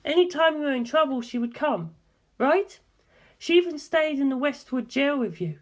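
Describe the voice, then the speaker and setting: A woman acts out a young woman from an audiobook story, high in pitch and sure of herself. She talks at length, assertive and knowing, with a teasing edge. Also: animated, normal speed. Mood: insistent, knowing. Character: Darlington, sharp teasing young English woman. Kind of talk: dramatic audiobook character dialogue.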